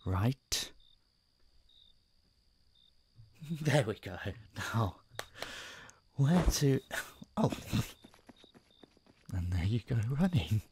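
A man playing an obsessive, doting yet menacing masked kidnapper in an audio roleplay, speaking softly and tauntingly to his captive. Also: medium pitch, very animated, breathy edge, heavily acted. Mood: possessive, playful. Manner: soft, taunting, condescending, drawn-out murmurs and whispers. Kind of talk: intimate audio roleplay monologue addressed to the listener.

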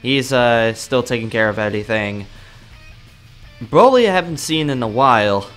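Cocky young male anime fighter, acted by a man, bright forceful voice, slangy grumbling, game roleplay, music behind. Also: medium pitch, lively intonation, normal speed, voice pushed down, natural voice. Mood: grumbling, resigned. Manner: grumbling slangy monologue, talking to himself. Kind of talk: improvised video game roleplay.

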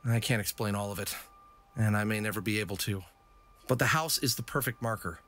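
A man playing a quick-witted, confident fox adventurer, casual and wisecracking, in an audio drama. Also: on the low side, a bit bright, a little hiss or hum, close to an everyday voice. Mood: earnest. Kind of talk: animated audio drama acting.

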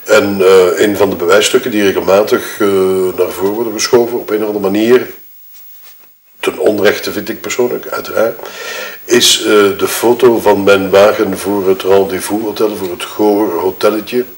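Low-voiced, hesitant Flemish widower, played by a man. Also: fairly even intonation, moderate pace, close to an everyday voice. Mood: dismissive, defensive. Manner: hesitant, wordy, formal, self-correcting. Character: wronged, self-justifying widowed husband giving his statement. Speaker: actor in an audio murder-mystery game. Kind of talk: acted improvised-sounding testimony. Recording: roomy echo.

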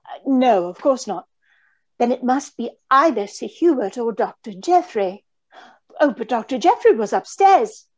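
Anxious high-voiced young lady acted by a woman. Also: animated, a little slow, a little mellow. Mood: flustered. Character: frightened, earnest young lady in a mystery. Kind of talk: old-time radio drama acting. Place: indoor room on a video call.

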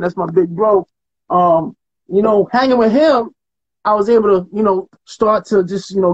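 A man's voice: high voice, dull audio. A middle-aged man from Trinidad and Tobago, telling stories in a casual, slangy interview chat over a video call from home. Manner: laid-back storyteller, slangy, laughs often. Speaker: artist guest. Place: home room with painted walls. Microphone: video call webcam.

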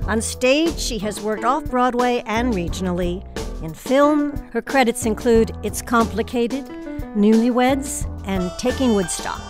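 Casting: female announcer for drama introductions and stage directions, slightly high voice, music underneath. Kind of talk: scripted narration. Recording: music behind the voice.

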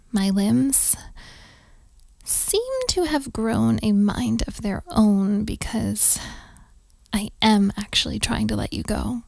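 A woman playing a needy, affectionate young girlfriend in an intimate ASMR roleplay, teasing and breathy, often slipping toward a whisper. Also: fairly high pitch, no echo. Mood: playful, teasing. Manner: slow, teasing, affectionate, giggly. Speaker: audio roleplay performer. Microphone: close microphone, dry sound.

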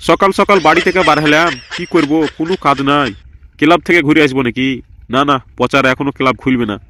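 Guy doing dithery village lad, highish sharp voice, talks quick, cartoon comedy. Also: a little hiss or hum. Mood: musing, undecided. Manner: muses aloud, gossipy. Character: idle, dithering village young man, anxious go-between. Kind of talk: Bangla comedy cartoon dubbing.

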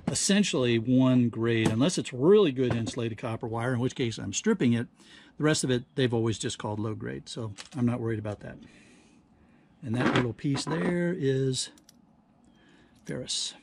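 Guy at a workbench talking thru what he's doing, kinda halting, mid pitch, some noise and clicks behind him. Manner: halting, thinking aloud while working. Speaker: host of a scrapping how-to channel. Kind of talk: casual hands-on demonstration commentary. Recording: clear background noise, handling clicks.

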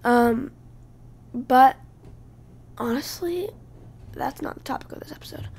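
A woman hosting her own podcast, speaking casually while hoarse from losing her voice, halting and often dropping to a breathy whisper. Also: high-pitched, darkish tone. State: hoarse, lost voice. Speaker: podcast host. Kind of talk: casual solo podcast talk.

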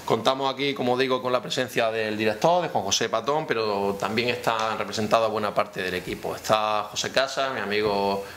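A man, speaking haltingly as a councillor at a press conference. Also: on the low side, a bit bright. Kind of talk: semi-formal press conference speech. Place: indoor press room at town hall. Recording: clear background noise, room ambience.

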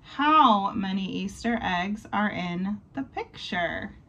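A woman in her twenties reading a children's picture book aloud with expressive, deliberate storytelling. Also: mid-range voice. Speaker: volunteer storybook reader. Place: small bedroom, indoors.

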